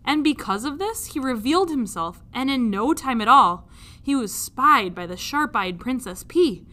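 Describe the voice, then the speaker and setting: Bright-voiced woman reading a storybook. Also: high-pitched, animated, moderate pace. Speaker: teacher reading a children's book aloud. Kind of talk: expressive storybook narration.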